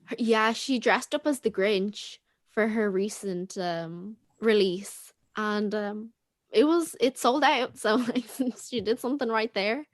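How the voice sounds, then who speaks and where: A woman's voice: mid-range voice, takes it slowly. A young adult woman chatting casually as a panelist on a student podcast.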